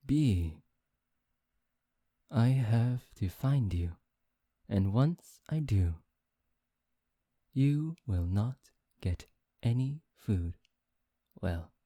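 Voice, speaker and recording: A performer voicing a smug, teasing high-ranking vampire in an ASMR roleplay, theatrical and coaxing, with playful menace and mock politeness. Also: mellow, dry recording, studio quality. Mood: coaxing, menacing.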